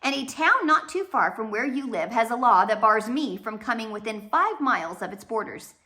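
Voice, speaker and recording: A woman reads a children's story aloud as its narrator. Her delivery is expressive and scripted. The voice sits a little high and is bright and forceful. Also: moderate pace. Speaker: audiobook narrator.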